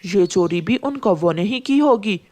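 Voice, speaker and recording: A woman's voice: mid-range voice, lively intonation, moderate pace, a little mellow, slight background noise, close to an everyday voice. A woman voicing a helpful, concerned male pigeon in a cartoon, earnest and full of advice.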